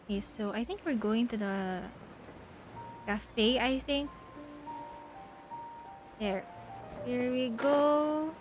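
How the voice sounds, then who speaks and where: High-voiced, unhurried woman livestreamer. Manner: repeats words, thinks aloud. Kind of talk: casual game stream commentary. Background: faint game music.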